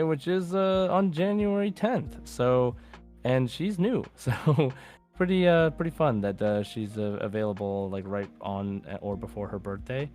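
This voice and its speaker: A man's voice: mid-range voice, a little mellow. A man hosting a casual gaming podcast, talking in an enthusiastic, rambling way. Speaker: podcast host.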